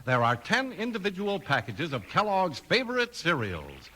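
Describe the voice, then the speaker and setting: Animated 1950s American radio announcer, man. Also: sits high, normal speed. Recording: old 1950s radio broadcast. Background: music.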